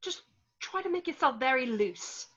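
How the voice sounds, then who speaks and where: A woman plays a brisk, encouraging coach with a bright, highish voice, like a drama teacher. The recording is slightly dull and lo-fi. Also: animated. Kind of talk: amateur table read of a film script. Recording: dull lo-fi home microphone.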